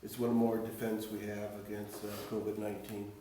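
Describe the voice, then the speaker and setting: A soft-spoken man chairing a city council meeting as mayor, with a flat, procedural delivery. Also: lowish voice, fairly quick. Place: council chamber. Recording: echoey council chamber from far off, noisy.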